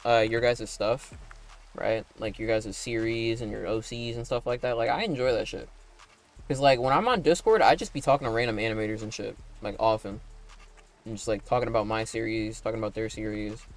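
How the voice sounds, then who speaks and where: A man with a slightly raspy, deliberately deepened voice talks to his viewers in a loose, rambling way, full of likes and tag questions. His pitch sits in the middle range for a man. Faint music plays underneath. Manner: talking in a put-on broadcast voice. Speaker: animator and streamer. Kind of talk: casual livestream chat while playing a game.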